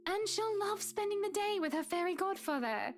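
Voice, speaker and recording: High-voiced, cheerful young wife. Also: female voice, expressive, moderate pace, faint music behind. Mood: soothing, teasing. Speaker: voice actor in a visual novel game. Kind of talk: video game dialogue acting.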